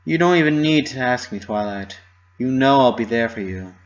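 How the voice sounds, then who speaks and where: A man voicing a loyal young female pegasus pony in a story reading, speaking calmly and confidently to console. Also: mid-range voice, moderate pace, highs cut off, background noise, pitched above the performer's own voice, natural voice. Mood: supportive.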